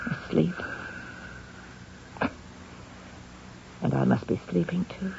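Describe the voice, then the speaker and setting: An actress playing an effusive, touchy, anxious wife in an old-time radio drama, earnest and theatrical. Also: female voice, medium pitch, creaky. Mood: dazed. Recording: muffled, noisy old radio recording.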